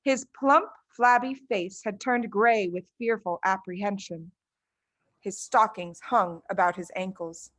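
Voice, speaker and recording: A woman's voice: mid-range voice, unhurried, a bit bright, dry recording. A woman reading a children's book aloud as its narrator, in scripted storytelling style.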